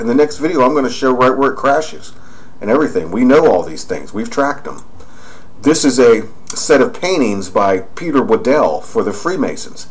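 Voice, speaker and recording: A man's voice: mid-range voice, low-quality recording. A man narrating over screen images, rambling and pointing out details as he goes. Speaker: video narrator.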